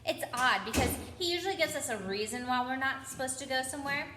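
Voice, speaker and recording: A woman's voice: sits high, expressive, fast, pushed and bright, echoey room, slight background noise. A woman voicing an earnest, bossy teenage boy prefect with an officious, self-important manner in a children's storybook. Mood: puzzled. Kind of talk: children's storybook character reading.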